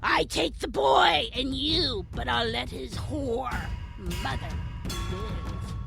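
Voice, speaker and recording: A woman playing a vicious hag demon in an audio drama, menacing and shrieking, with drawn-out threats. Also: high voice, vocal fry, over music, full character voice.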